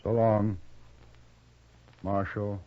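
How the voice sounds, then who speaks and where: A man's voice: fairly low pitch, flat delivery, drawn-out words, very noisy. An actor playing a bitter, rueful outlaw gunman with folksy, plain speech in a 1950s radio western. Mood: defiant, resigned. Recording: muffled old 1950s radio recording.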